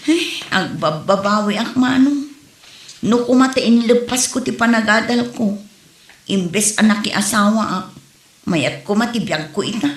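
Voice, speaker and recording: A woman's voice: mid-range voice, animated, measured pace, natural voice. A woman playing a plaintive, struggling abandoned mother in an Ilocano comedy audio drama. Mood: regretful. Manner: plaintive, reproachful. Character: Ninita, wronged, struggling young abandoned mother. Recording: roomy, music underneath.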